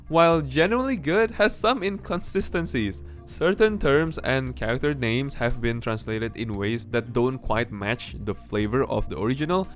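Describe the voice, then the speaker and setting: A man narrating a game review in a casual, opinionated way, blunt and conversational with offhand asides. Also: mid-range voice. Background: music with guitar under the voice.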